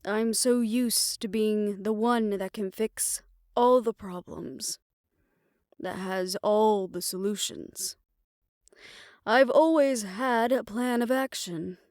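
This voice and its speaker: A woman playing a weary, proud aging fixer talking softly and wryly to her lover in an intimate roleplay audio drama. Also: mid-range voice, slow pace, no room sound, studio quality, lightly acted. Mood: frustrated, despondent.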